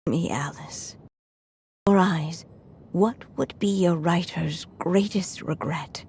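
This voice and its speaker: A woman voicing an aging writer in a video game, frail and breathy, with a reflective, quietly determined manner. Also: on the low side, slight background noise. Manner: slow, measured, reflective delivery.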